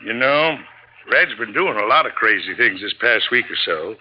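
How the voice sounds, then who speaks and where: A man's voice: fairly low pitch, animated, normal speed, natural voice. A man playing an easygoing, amiable lake dock hand in 1950s radio drama style. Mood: matter-of-fact. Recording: dull old radio recording.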